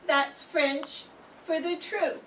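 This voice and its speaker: A woman's voice: high voice, fairly even intonation, drawn-out words, fairly bright tone, exaggerated voice. An older woman reading as Irma, a dim sweet girl. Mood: dreamy. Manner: naive, earnest delivery of punchlines. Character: sweet scatterbrained young woman columnist. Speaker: student performer in radio-script class. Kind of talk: amateur old-time radio play reading. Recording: roomy classroom, far from mic, faint hiss.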